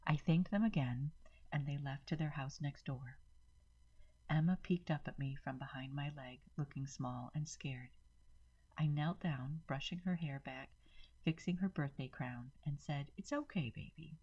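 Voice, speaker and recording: A woman's voice: low-pitched, measured pace, dark tone, husky, dull audio. A woman storyteller reading a dramatic first-person story as a channel narrator.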